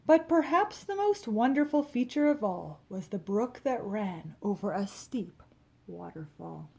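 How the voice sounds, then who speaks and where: A woman reading a rhyming children's picture book aloud, expressively, with dramatic emphasis and drawn-out key words. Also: mid-range voice, expressive, gravelly, darkish tone, a little hiss or hum. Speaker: storybook reader.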